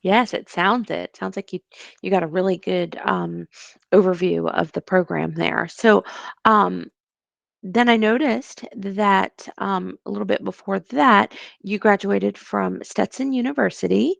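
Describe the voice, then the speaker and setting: A woman's voice: mid-range voice, steady tone, unhurried, vocal fry, no room sound, lo-fi sound. A woman interviewer on a video call asking about work history, polite and encouraging with many ums. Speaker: job interviewer. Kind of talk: friendly interview questions.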